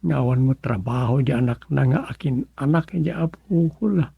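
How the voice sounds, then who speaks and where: A man playing a weary, moaning grumbler with a husky, creaky voice in an Ilocano comedy radio drama. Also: mid-range voice, moderate pace, dark tone, no room sound. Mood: groaning.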